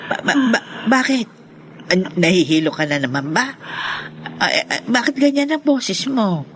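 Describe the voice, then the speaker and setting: Caring older friend in radio drama, lively swooping pitch, bit of background noise. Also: female voice, measured pace. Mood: alarmed. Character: kindly, fussing older woman friend. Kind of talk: Tagalog radio drama acting.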